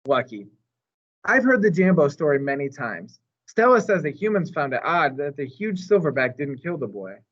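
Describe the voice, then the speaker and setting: A man reading a children's story aloud as narrator, in an expressive storytime style. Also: fairly high pitch, moderate pace, dull audio. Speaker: storytime reader.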